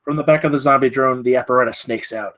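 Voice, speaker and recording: A man's voice: mid-range voice, fairly even intonation, fast, fairly bright tone. Man narrating screenplay action. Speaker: narrator reading stage directions. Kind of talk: scripted narration at a screenplay table read.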